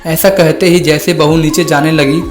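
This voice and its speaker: A man's voice: fairly high pitch, steady tone, normal speed, music in the background. A man narrating a scripted horror story as its storyteller.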